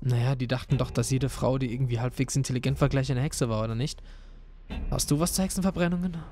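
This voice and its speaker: A man's voice: mid-range voice, fast, dark tone, close to an everyday voice. A young man playing a curious student who takes charge, in an audio drama. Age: young adult. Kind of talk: amateur audio drama acting. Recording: music behind.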